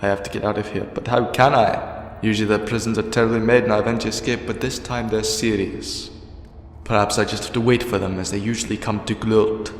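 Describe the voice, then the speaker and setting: A man's voice: lowish voice, little pitch movement, brisk, slight background noise, lightly acted. A man playing a defiant, imprisoned time-travelling alien hero in a fan audio drama, wry and resolute. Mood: regretful. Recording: echoey, long reverb, music underneath.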